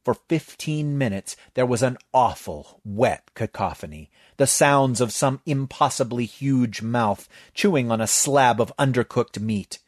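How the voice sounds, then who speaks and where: A man reading a horror comedy story aloud in a measured storytelling manner. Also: mid-range voice, expressive, no echo, studio quality. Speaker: narrator. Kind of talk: scripted story narration.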